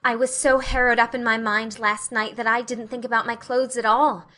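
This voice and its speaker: A woman's voice: a little high, flat delivery, moderate pace, sharp tone. A woman reading an audiobook, voicing an eager, imaginative young girl who rambles earnestly. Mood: dreamy.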